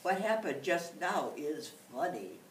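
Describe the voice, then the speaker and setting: A woman voicing a cheerful young male possum with a bright, eager manner. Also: low voice, very animated, a little slow, slight background noise. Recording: roomy, distant mic.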